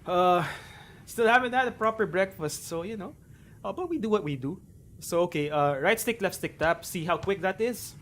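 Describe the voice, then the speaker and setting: Filipino man, 30s, slightly high pitch; easygoing live-stream game coach, explains as he goes. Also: normal speed, a little hiss or hum. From Philippines. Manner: relaxed, chatty, explains with frequent fillers. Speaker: gaming streamer.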